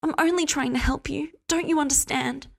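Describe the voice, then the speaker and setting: A woman putting on a high, syrupy, pious woman. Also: normal speed. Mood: soothing, pitying. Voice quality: sweet, syrupy, condescending. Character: syrupy, pious, controlling woman, a meddling friend. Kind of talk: storybook reading.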